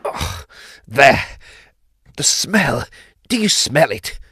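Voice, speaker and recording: A man's voice: medium pitch, very animated, drawn-out words, sharp tone, slightly rough, dry recording, clean studio sound, heavily acted. An actor playing a grave, intrepid ghost hunter, narrating his own ghost story in a theatrical, suspenseful way. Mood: urgent, investigating. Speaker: actor narrating an audio drama. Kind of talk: dramatic first-person ghost story narration.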